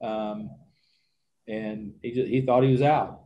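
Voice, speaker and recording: A man in his sixties, a baseball manager answering questions at a press conference, halting and repeating words, speaking into a desk microphone. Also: lowish voice, fairly even intonation, muffled recording. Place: press conference room with backdrop.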